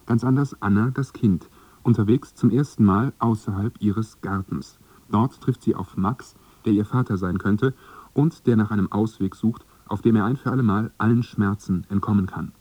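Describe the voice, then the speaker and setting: A man's voice: on the low side, moderate pace, a little mellow, slightly rough, no room sound, far from the mic. A man giving a scripted introduction to a radio play as an announcer.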